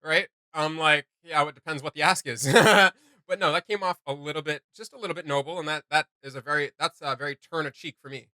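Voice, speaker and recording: A man hosting a reaction video, giving casual, animated fan commentary. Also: a little high, quick pace, no echo, low-quality recording.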